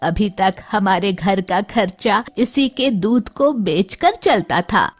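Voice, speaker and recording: A woman voicing a loving, gently firm, ailing old village mother in a cartoon, speaking in a drawn-out, instructive way. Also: medium pitch, animated. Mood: firm, explaining.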